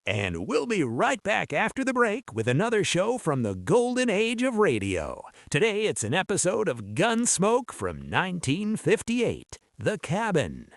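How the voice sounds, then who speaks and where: Man as lively high-voiced 1970s radio newsman. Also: measured pace, few pauses, no room sound, clean studio sound, full character voice. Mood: friendly, chatty. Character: 1970s local radio newsman, businesslike and friendly. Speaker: news anchor. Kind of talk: radio news and weather reading.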